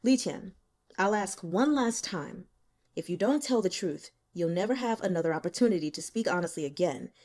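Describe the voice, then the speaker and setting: A woman voicing a stern male investigator in an audiobook, measured and insistent as he interrogates. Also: lowish voice, animated, a little mellow. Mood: stern warning. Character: probing, stern male investigator boss, stubbled and overworked.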